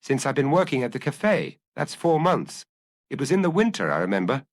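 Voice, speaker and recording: A man's voice: mid-range voice, very animated, brisk, no echo, clean studio sound, pitched above the performer's own voice. A man voicing a guarded young Parisian waitress who gives short, matter-of-fact answers in an audiobook. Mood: guarded, plain. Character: young working-class Parisian waitress, plain-spoken and guarded.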